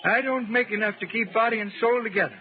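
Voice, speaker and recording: An actor playing a frail old blind beggar with a stammering, pleading way of talking in a 1930s radio drama. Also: male voice, sits high, fast, natural voice. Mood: frightened, pleading. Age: older. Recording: tinny 1930s radio recording, loud music.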